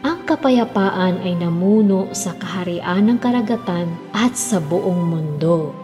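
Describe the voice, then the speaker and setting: A woman's voice: on the low side, lively intonation, a little slow. A woman narrating a children's fairy tale as a storyteller, in a scripted style. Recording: long reverb, music behind.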